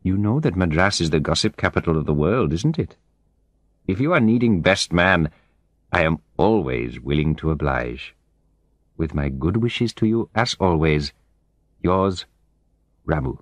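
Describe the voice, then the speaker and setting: Man reading as a low-voiced, courteous businessman. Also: sing-song, measured pace, long pauses, no echo, full character voice. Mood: earnest, friendly. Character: friendly, eager Indian businessman writing to his friend.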